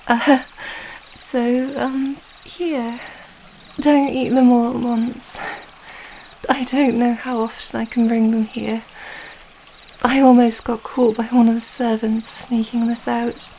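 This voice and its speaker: A woman's voice: a little high, level tone, slow pace, dark tone, slight background noise, low-quality recording. A woman playing an affectionate young princess in love, speaking tenderly and hesitantly in a romantic fantasy ASMR roleplay. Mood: playful, caring.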